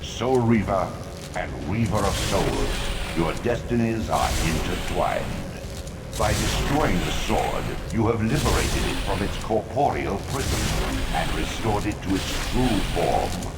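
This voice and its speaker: An ancient otherworldly elder intones in a deep, gravelly voice with echo around it. He is commanding and oracular, every phrase stately and portentous, as in a dark fantasy game. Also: very animated, unhurried, heavy background noise. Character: ancient, commanding, all-knowing otherworldly male elder being. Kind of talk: dramatic video game voice acting. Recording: echoing, loud music behind.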